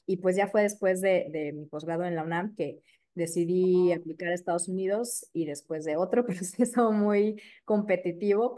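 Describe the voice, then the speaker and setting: A woman in her thirties with a Mexico City accent talks through her career in an easy, chatty way. Her voice sits low and sounds mellow and dark. She keeps a relaxed flow and drops frequent fillers. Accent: Mexico City Spanish. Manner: warm, chatty, many fillers like "este" and "eh". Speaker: periodontist guest. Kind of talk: casual interview chat.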